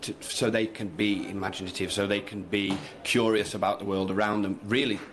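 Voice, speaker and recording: A man's voice: on the low side, fairly even intonation, a bit bright, slight background noise. A man, a teacher, speaking earnestly on a radio show with frequent restarts. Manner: halting, earnest, many restarts. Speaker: teacher, guest. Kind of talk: radio interview.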